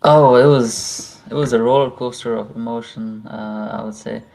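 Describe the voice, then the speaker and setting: A man's voice: medium pitch, fairly even intonation, normal speed, vocal fry, darkish tone, rough recording. A young man from Nepal, a guest in a live interview, talking casually and hesitantly with many fillers. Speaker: interview guest, visa client.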